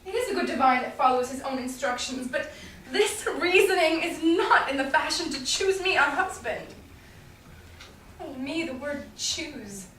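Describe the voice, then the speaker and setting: A witty young heiress of Shakespearean drama played by a woman, world-weary and high in pitch. She is heard from a distance in a very echoey room, in a staged reading with faint noise. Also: a bit bright. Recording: roomy echo, distant mic, faint noise.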